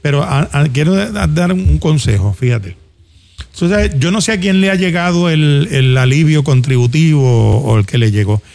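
Gravelly Puerto Rican man talking casually. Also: a little high, lively intonation, normal speed, darkish tone. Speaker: talk show host. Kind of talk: casual conversational commentary. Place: studio.

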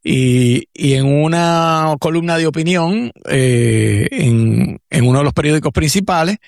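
Puerto Rican male commentator, professor type, higher-pitched, reflective and digressive, dry close sound. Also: measured pace, creaky, darkish tone, no room sound. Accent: Puerto Rican Spanish. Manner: reflective, digressive, many restarts and fillers. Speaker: radio co-host, commentator. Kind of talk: casual talk-show commentary.

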